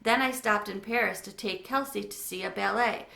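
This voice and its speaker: A woman's voice: medium pitch, normal speed, vocal fry, bright, forceful tone. A woman reading a children's storybook aloud as narrator. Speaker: read-aloud reader. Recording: echoey room.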